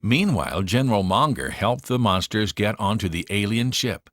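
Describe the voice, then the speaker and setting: A man narrating a children's storybook in an expressive, dramatic storytelling manner. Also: lowish voice, normal speed, no room sound, studio quality.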